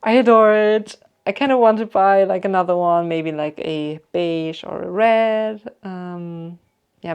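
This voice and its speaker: Low, gravelly woman with a German accent. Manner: chatty and rambling, with many "like" and "um" fillers. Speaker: vlogger answering viewer questions. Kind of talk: casual Q&A chat to camera.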